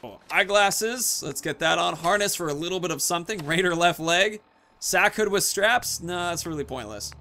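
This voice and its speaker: A man in his thirties giving chatty, animated Let's Play commentary, telling a roleplay story and stressing key words. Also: high-pitched, normal speed. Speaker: gaming video host.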